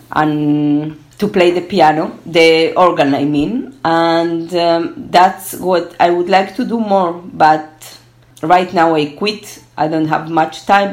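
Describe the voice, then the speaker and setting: A woman in her thirties, a Spanish speaker, talking reflectively and haltingly as a guest in a conversational interview. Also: sits low, darkish tone. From born in Argentina, moved to Israel at twelve. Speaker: interview guest, gerontology researcher.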